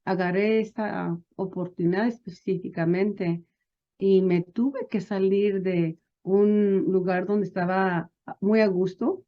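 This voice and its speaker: A woman's voice: medium pitch, steady tone, takes it slowly, highs cut off. A woman guest chatting informally in an interview, switching between languages at times. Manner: mixes Spanish and English. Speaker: interview guest, business advisor.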